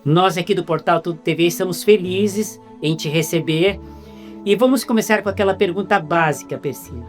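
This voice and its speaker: A man's voice: sits high, moderate pace, faint music behind. A man with a Brazilian Portuguese accent hosting a casual interview, often repeating words as he gets going. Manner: stammering repetitions. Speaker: interviewer host.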